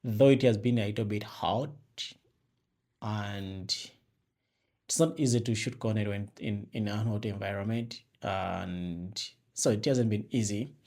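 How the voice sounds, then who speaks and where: A man's voice: lowish voice, a little slow, darkish tone. A young Ugandan man with a Ugandan accent, hosting his own vlog and talking casually to camera, rambling with fillers and restarts, on an external mic into a phone. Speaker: vlogger host.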